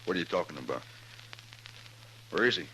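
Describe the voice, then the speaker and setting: Hard, hot-tempered rancher, forceful bright voice. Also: male voice, low-pitched, expressive, fairly quick. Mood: shaken. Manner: blunt, forceful, folksy Western speech. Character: hard, hot-tempered Texas rancher father, stubborn and straight. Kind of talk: 1950s radio western acting. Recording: noisy old 1950s radio recording.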